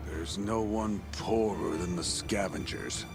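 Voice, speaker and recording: A slow old beggar. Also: male voice, low voice, creaky, heavily acted. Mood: pleading. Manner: slow, pleading. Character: old male beggar scavenger, pitiful and slow. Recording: distant voice over game music.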